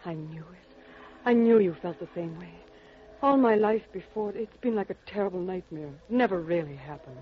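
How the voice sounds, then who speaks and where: A woman's voice: lowish voice, expressive, normal speed, close to an everyday voice. Woman to play desperate young leading lady, emotional and pleading, vintage radio drama, dull with faint noise. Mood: yearning. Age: young adult. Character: desperate, pleading young femme fatale, melodramatic. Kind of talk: 1940s radio melodrama acting. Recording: dull, noisy old radio recording.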